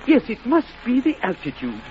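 An actor playing a shy, fussy, old-fashioned schoolmaster who hesitates and stammers, in an old-time radio drama. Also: male voice, very high-pitched, wildly swooping pitch, normal speed, soft background music, exaggerated voice. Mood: shy, exhilarated. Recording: dull old radio recording.

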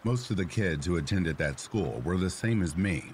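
Man, very deep voice, steady scripted story narration, soft rain in the background. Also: moderate pace, a little hiss or hum. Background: faint rain sounds.

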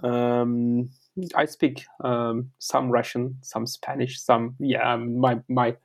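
A man from Turkey, a native Turkish speaker with a Turkish accent, answering as an interview guest in a conversational, halting way that repeats words while searching for phrasing. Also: mid-range voice, monotone, creaky. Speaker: interview guest, author and academic.

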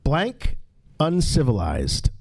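A man's voice: medium pitch, wildly swooping pitch, slow pace, creaky, darkish tone, slight background noise. A man hosting a casual live quiz on a convention stage, bantering with contestants. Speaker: trivia game host.

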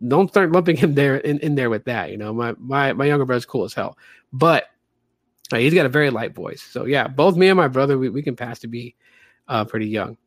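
A man's voice: medium pitch, expressive, brisk, no room sound. Casting: late-30s man with a light voice, laid-back podcast host, chatty and rambling, dry close sound. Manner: rambling, chatty, many fillers. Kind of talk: casual livestream podcast talk.

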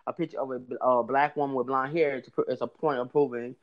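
A man with a high voice telling a story in casual chat, rambling with many restarts. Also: fairly even intonation, quick pace, dull audio. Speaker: podcast guest telling a story.

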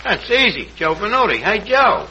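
A muffled, noisy old radio broadcast of a brash New York tavern manager. His voice runs high and swings up and down, full of slangy wisecracks and mangled words. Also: sing-song, normal speed, sharp tone, heavily acted. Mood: cajoling. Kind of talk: 1940s radio comedy acting.

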